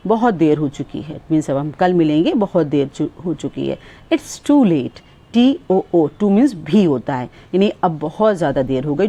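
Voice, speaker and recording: A woman with an Indian accent teaches everyday English phrases. Her voice sits low and has a dark, mellow tone. She explains each phrase and then repeats the English line at a slow, careful pace. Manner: explains in Hindi, then says English phrases slowly and clearly. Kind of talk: spoken English lesson.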